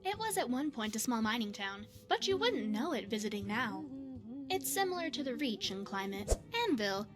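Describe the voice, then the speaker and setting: A woman voicing a poised, secretive retired spy and mage in a fantasy game, speaking in a measured, formal, coy way. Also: a little high, very animated, over music. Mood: polite, conversational. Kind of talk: scripted fantasy game character dialogue.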